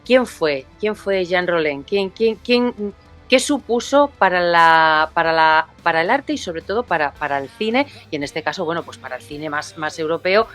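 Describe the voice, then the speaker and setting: A woman's voice: lowish voice, expressive, moderate pace, soft background music. A woman hosting an interview, friendly and rambling in her questions. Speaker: host.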